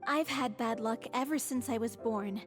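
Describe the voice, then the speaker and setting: A woman's voice: fairly high pitch, moderate pace, soft background music, close to an everyday voice. A woman voicing an ailing, modest young actress in a video game, earnest and wry about her bad luck. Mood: grateful resignation.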